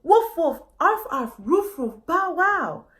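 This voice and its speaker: A woman voicing storybook animal families who bark out a search call in loud, rhythmic chanted words. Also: very high voice, big pitch swings, slow pace, pitched above the performer's own voice, full character voice.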